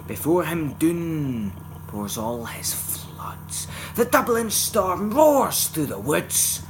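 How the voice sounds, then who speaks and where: A man with a Scottish accent giving a theatrical, expressive recitation of narrative verse in Scots. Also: a little high, slow, slight background noise. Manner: theatrical, expressive storytelling delivery. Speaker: poetry reciter.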